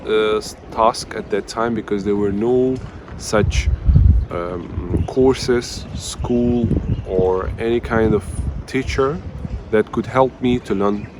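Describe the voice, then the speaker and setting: A man's voice: on the low side, slow pace, noisy background. Hesitant man. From Uzbekistan. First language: Russian. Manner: hesitant, many fillers and restarts. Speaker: language teacher, channel host. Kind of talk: personal story to camera.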